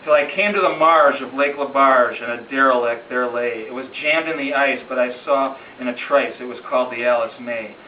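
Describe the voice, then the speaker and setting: A man's voice: mid-range voice, a little fast, few pauses. Man reciting a ballad, roomy and distant. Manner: storytelling, rhythmic, emphatic phrasing. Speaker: amateur poem reader. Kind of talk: dramatic recitation of a narrative ballad. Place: room in a home, at a gathering. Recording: roomy distant muffled home recording, faint noise.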